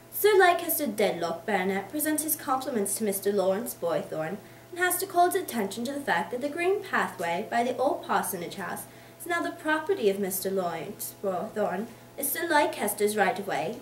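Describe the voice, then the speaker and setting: A woman voicing a hearty, explosive, blustering older English gentleman in an emphatic, theatrical reading of a Victorian novel. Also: on the high side. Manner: emphatic, theatrical declaiming in long tirades. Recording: echoey room, distant mic, faint noise.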